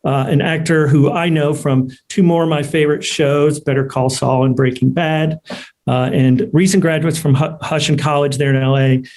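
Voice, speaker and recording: Higher-pitched man hosting, halting delivery. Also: steady tone, darkish tone. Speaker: host of an online award ceremony. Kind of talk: unscripted event hosting. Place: remote video call.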